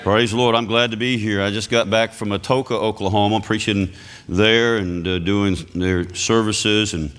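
A man's voice: fairly even intonation, a bit bright, clear, resonant tone. Scratchy-voiced man preaching. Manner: folksy, calls out amen to the congregation; reads scripture slowly with rising pitch and emphasis. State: scratchy voice from illness. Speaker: visiting preacher. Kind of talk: sermon.

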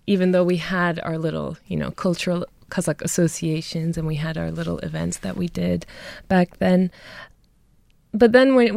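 Low, gravelly-voiced young woman poet. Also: darkish tone, no echo. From Germany. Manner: thoughtful, measured, laughs lightly while talking. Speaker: poet and guest. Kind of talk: poetry reading and interview answers.

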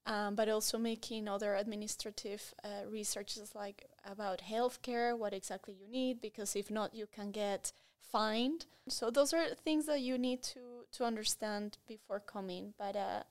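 A woman in her thirties with a Latin American Spanish accent, a native Spanish speaker, answering interview questions in an enthusiastic, expressive, conversational way. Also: mid-range voice. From Colombia and Spain. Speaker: interview guest.

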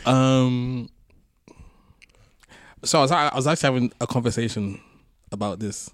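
A man's voice: medium pitch, moderate pace. A man co-hosting a casual podcast discussion, hesitantly thinking aloud as he talks. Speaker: podcast co-host.

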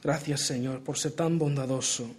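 A man's voice: mid-range voice, fairly even intonation, moderate pace, slightly rough. A young man raised in Portugal preaching, his Spanish accented by Portuguese and American English; earnest, with many restarts. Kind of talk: sermon, Bible reading and prayer.

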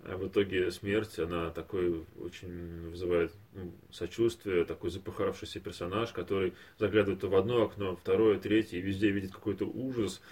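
A man's voice: low voice, fairly even intonation, fairly quick, hardly any pauses, a little mellow, breathy edge. A man co-hosting a podcast in casual discussion, hesitant and searching for words as he talks. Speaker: podcast co-host. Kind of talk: casual discussion of animated films.

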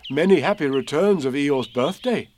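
A man's voice: a little high, animated, moderate pace, darkish tone, a little hiss or hum, lightly acted. A man voicing a humble, childlike bear in a children's story, speaking simply and earnestly.

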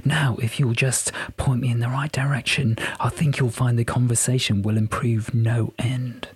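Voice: medium pitch, little pitch movement, moderate pace, slightly rough. Reader playing a pompous, flamboyant male rock star. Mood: conspiratorial. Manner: affected, camp, wordy and pompous. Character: flamboyant, smug, hedonistic male rock musician, theatrical.

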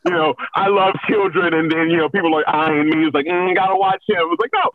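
A man's voice: a little high, a little fast, slight background noise. American man on a thin phone-like line. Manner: candid, self-deprecating humour, chuckles often. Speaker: host of a children's story reading show, being interviewed. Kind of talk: casual conversational interview. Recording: thin, phone-like call audio.

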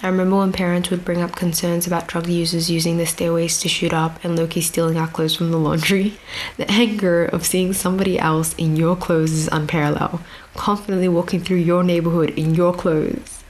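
A young woman giving a prepared storytelling speech to an outdoor audience, measured and reflective with dry asides. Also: lowish voice, flat delivery, slight background noise, poor audio. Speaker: speaker addressing an outdoor audience. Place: outdoor venue with grass and a stage.

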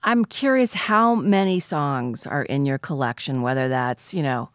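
Casting: interviewer woman, low voice, direct questions, dry close mic. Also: a little slow, no echo.

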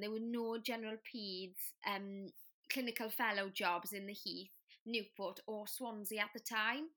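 Bright-voiced Welsh woman. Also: medium pitch. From Wales. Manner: friendly, chatty storyteller with many fillers. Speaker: guest, paediatric trainee doctor. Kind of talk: casual interview chat.

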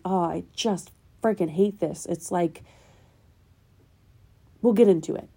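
Nasal-sounding woman with a dark mellow voice. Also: mid-range voice, gravelly, husky. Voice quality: slightly nasal. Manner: earnest, probing rhetorical questions. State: getting over a cold. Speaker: podcast host. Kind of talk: spiritual self-help monologue.

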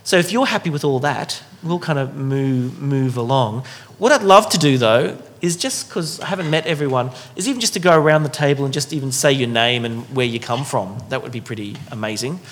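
A man's voice: mid-range voice, animated, a little fast, few pauses. A man hosting a dinner discussion, talking loosely and casually with many fillers and restarts. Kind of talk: casual welcoming talk to a group.

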